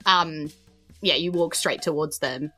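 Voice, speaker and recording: A woman's voice: low voice, unhurried, bright, forceful tone, soft background music. A woman with a New Zealand accent improvising narration as a tabletop game master in an informal, storytelling way.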